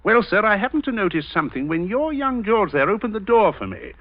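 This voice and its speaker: An actor playing a sly, mock-polite gentleman blackmailer with sardonic hesitations, in 1940s radio drama style. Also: high-pitched, sing-song, fairly quick. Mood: insinuating. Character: sly, insinuating gentleman blackmailer, coolly taunting. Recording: muffled old radio recording.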